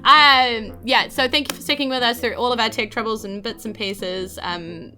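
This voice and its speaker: A woman's voice: on the high side, normal speed, bright, forceful tone, soft background music. A woman with a New Zealand accent, the game master of a tabletop podcast, thanking supporters in a chatty, giggly way with many fillers.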